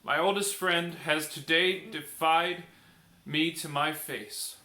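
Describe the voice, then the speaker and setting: A man voicing a noble, solemn and merciful king in a storybook, speaking in a measured, declamatory way. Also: a little high, steady tone, pushed and bright, pitched above the performer's own voice. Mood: grieving, grave. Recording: echoey room.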